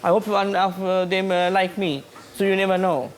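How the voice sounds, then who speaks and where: A man with a Sri Lankan accent talking casually, in halting non-native phrasing. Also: high-pitched, flat delivery. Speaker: documentary participant. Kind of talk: casual conversation. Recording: noisy location sound.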